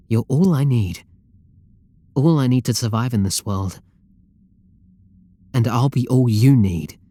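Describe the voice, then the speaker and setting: A man's voice: mid-range voice, warm tone, no echo, close to an everyday voice. A man with a British accent playing an obsessive, possessive, lovestruck game developer in an intimate roleplay audio drama, doting and controlling. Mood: possessive, devoted. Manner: doting, intimate, pleading endearments.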